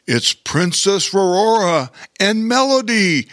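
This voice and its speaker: A man voicing an excitable, hearty bear king in a children's story, exclaiming eagerly. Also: sits high, takes it slowly, no room sound, clean studio sound, voice pushed up, full character voice. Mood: excited.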